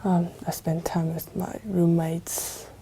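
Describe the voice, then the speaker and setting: Flat, gravelly young woman. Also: fairly low pitch, slow, poor audio. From China. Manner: brief, hesitant answers. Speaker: exam candidate.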